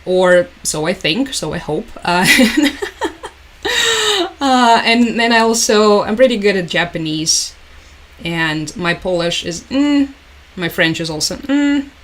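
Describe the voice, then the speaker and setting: A woman's voice: on the low side, animated, slow. A woman whose first language is Russian, hosting a live stream where she comments on the news and greets her chat in a resigned, hesitant way, with many fillers and restarts. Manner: resigned, repeats "unfortunately" and "as always". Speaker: livestream host.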